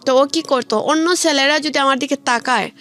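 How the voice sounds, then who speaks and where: Young female radio guest, Bangladeshi accent, high voice, colloquial personal storytelling, light music bed underneath. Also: fairly quick, fairly bright tone. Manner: colloquial, recounts conversations as direct quotes. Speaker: guest telling her life story. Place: radio studio. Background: background music.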